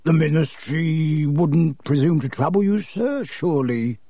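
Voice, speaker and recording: Guy doing an oily grovelling shopkeeper, soft and slimy, kinda high. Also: unhurried, no room sound, studio quality. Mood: fawning. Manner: obsequious, hushed. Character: oily, fawning shopkeeper of dark goods.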